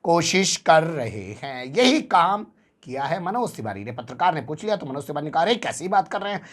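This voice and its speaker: A man's voice: high voice, fairly bright tone. A man presenting news commentary, sarcastic and full of rhetorical questions to the viewer.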